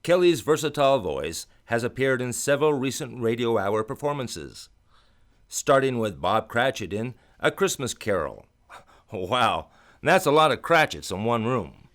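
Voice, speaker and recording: A man announces a radio hour in an old-time style. His voice sits at a middle pitch. The delivery is scripted and theatrical, with grand, flowery phrasing. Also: big pitch swings, measured pace, a bit bright. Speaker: radio show host and announcer. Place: radio studio.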